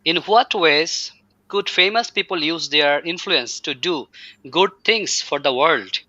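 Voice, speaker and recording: Bright, tense-voiced host on a lo-fi line. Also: male voice, a little high, animated, takes it slowly, no room sound. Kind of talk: interviewer asking questions.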